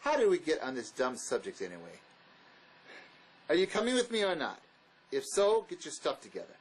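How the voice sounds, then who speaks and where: Bitter drifter on the run, played by a male actor: cutting sarcasm, highish voice, very animated drama delivery. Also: a little fast, slightly rough, exaggerated voice, poor audio. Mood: sarcastic. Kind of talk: dramatic script reading.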